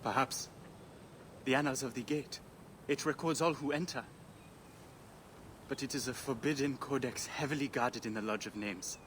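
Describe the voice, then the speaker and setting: A man acts out a sorrowful, devout widower from ancient Egypt in a mid-pitched voice. He speaks formally, gently and earnestly, as in a video game drama, over faint noise. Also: moderate pace, pauses often, natural voice. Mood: grave, hopeful.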